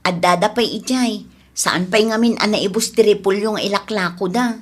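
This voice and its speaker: A woman's voice: medium pitch, fairly even intonation, moderate pace, close to an everyday voice. A woman playing a supportive, practical farmer's wife in an Ilocano radio drama, with an Ilocano Filipino accent. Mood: defensive.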